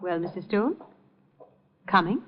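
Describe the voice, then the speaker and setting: A woman's voice: on the low side, lively intonation, unhurried, a little hiss or hum. An actress playing an icy, imperious, mocking woman in a 1950 radio drama, with a cold, clipped, commanding delivery. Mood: coolly mocking. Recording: dull old radio recording, faint music.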